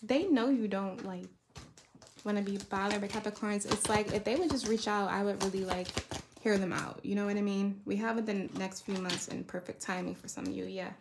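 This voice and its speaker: A woman's voice: medium pitch, fairly even intonation, fairly quick, background noise. A woman giving a casual, rambling tarot card reading in a conversational way, often checking in with the listener. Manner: conversational, rambling, checks in with "right?" and "you know". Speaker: tarot reader.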